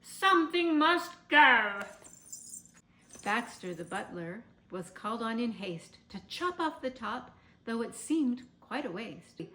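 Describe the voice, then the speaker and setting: A woman reading a children's story aloud in a theatrical, measured, expressive way. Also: a little high. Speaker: storybook reader. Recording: roomy, echoing sound.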